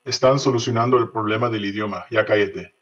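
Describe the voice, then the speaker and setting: A man's voice: on the low side, quick pace, fairly bright tone. A man playing a matter-of-fact bilingual interpreter in an audio story, on a dull recording. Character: brisk male interpreter relaying between Spanish and English. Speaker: voice actor reading a fiction podcast story. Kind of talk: dramatized short story reading. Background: music under the voice.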